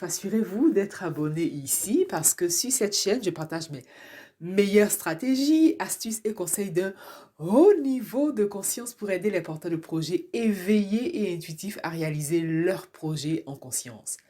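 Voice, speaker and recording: A woman's voice: mid-range voice, lively intonation, a little slow, dark tone. A middle-aged woman from Ivory Coast, giving a motivational coaching talk in a didactic way, repeating key points for emphasis. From Abidjan, Ivory Coast. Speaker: personal development coach, podcast host.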